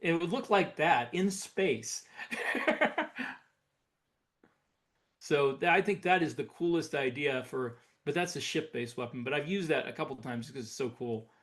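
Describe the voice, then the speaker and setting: A man's voice: fairly high pitch, a little slow, a bit bright. A man speaking as a podcast co-host in casual, enthusiastic, explanatory hobbyist chat. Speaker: podcast co-host, science fiction author. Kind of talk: casual hobbyist chat about sci-fi weapons.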